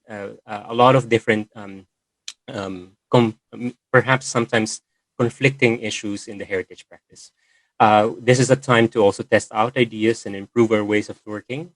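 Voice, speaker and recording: Hesitant low-voiced man. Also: no room sound, low-quality recording. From Philippines. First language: Filipino. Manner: hesitant, many fillers and restarts. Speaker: session host and moderator. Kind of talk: online meeting presentation.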